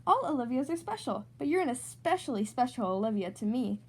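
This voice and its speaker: A woman's voice: on the high side, very animated, fairly quick, slightly rough, exaggerated voice. A woman voicing an affectionate, supportive storybook mother with a soothing, accepting manner.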